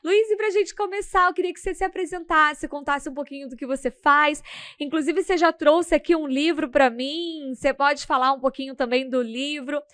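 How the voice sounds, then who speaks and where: A high-voiced woman hosts an interview podcast. Her delivery is upbeat and encouraging, with quick affirmations, and the sound is dry and close with no room echo. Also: fairly bright tone. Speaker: podcast host.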